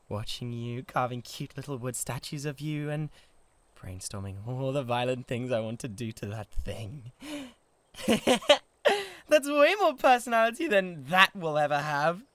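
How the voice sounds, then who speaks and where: British man as lovesick, jealous giant: wildly swinging pitch, easily exasperated, intimate dry ASMR recording. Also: fairly bright tone, no echo. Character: huge, jealous, lovesick, violently possessive male giant. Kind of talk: comedic ASMR roleplay acting.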